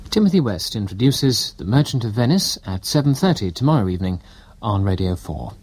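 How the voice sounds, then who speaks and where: A man with a British accent reading scripted radio continuity announcements in a formal, measured way. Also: on the low side, big pitch swings, a little mellow, husky. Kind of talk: scripted announcements and weather forecast.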